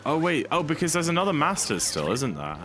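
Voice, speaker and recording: A slightly hoarse young man streaming a speedrun, chatting casually and rambling with lots of fillers. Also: on the high side, sing-song, a little fast. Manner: rambling, laid-back, many fillers. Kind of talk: casual live-stream chat. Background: game music.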